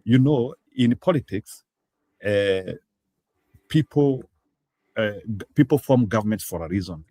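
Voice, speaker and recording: A man's voice: lowish voice, expressive, a little slow, dark tone, no room sound. A Kenyan man hosting an online talk show over a video call, speaking hesitantly with many fillers. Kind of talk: casual talk show interviewing.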